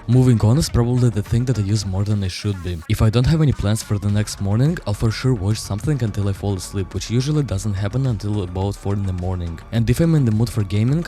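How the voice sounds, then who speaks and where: A man's voice: fairly low pitch, warm tone. A teenage boy from Ukraine with a Ukrainian accent, giving a scripted room tour voice-over with dry, self-aware humor. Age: teens. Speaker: vlogger.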